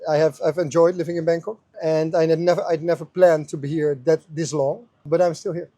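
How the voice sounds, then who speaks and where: A man's voice: a little high, little pitch movement, brisk, mellow, no echo. A man with a Dutch accent, a street interviewee who talks hesitantly with many fillers. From Netherlands. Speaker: interviewee, software company manager.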